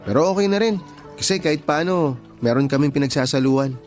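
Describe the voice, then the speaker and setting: Actor as an earnest young man, higher-pitched. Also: animated, brisk, a little mellow, soft background music, close to an everyday voice. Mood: chatty. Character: earnest, friendly young male fast-food coworker. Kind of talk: Filipino radio drama dialogue.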